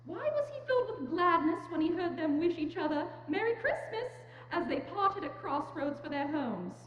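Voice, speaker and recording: A woman's voice: very high-pitched, sing-song, normal speed, fairly bright tone. A woman narrator giving a scripted dramatic reading of a story. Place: church, live staged reading. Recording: echoey church hall, faint noise.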